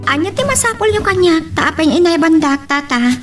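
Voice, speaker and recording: Woman acting very high-pitched, timid young Ilocano woman. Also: animated, a little fast, over music. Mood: deferential, anxious. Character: timid, flustered young house worker with a boyfriend. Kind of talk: amateur Ilocano audio drama.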